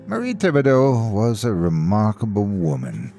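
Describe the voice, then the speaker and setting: A man narrating a horror story in a theatrical, deliberate, measured way, with a gravelly voice. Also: lowish voice, wildly swooping pitch, dark tone. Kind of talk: dramatic scripted horror storytelling. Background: faint background music.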